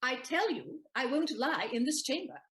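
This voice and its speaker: An actress plays a sharp-witted young maid who teases and mocks. Her voice sits high and leaps around with lively swings in pitch. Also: moderate pace. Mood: stubborn. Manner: teasing, sharp-witted, mocking. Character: Susanna, clever teasing young maid, female. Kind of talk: stage audio play acting.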